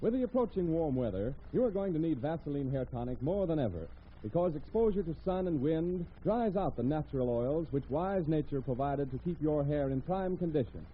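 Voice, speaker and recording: Male announcer, American accent, 1940s radio style, polished and formal, higher-than-average pitch, thin phone-like sound, faint noise. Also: animated, a little fast. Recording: tinny, noisy vintage radio recording.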